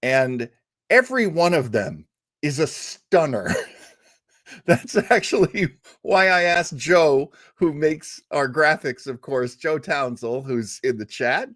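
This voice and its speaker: Chatty, animated male stream host. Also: a little high, slow pace, no room sound, studio quality. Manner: chatty and enthusiastic, with many fillers. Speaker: host of a livestreamed radio-show listening party. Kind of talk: casual livestream chat.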